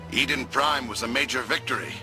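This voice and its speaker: An actor playing a scheming alien traitor in a video game, calm and measured. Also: male voice, mid-range voice, over music, natural voice. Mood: cold.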